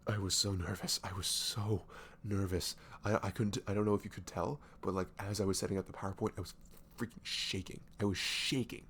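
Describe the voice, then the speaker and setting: A man playing a nervous, dorky best friend confessing love in an intimate ASMR roleplay, halting and stammering, with sighs and soft breathy moments. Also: deep voice, steady tone, slight background noise. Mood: flustered, giddy. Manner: halting, stammering, sighing, breathy laughs, often dropping to whispers. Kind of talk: roleplay ASMR audio drama, intimate and conversational.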